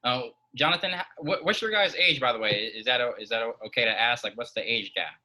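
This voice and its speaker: A man hosting an interview, asking questions with hesitant pauses and many fillers. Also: medium pitch, bright, forceful tone, rough recording. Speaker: host.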